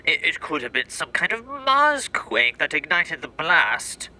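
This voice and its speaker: A man playing an earnest astronomer interviewed in a radio drama, formal and slightly halting, with a sharp, thin voice. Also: on the high side, big pitch swings, full character voice. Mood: earnest, assured. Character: earnest, dismissive male astronomer, phone interview guest.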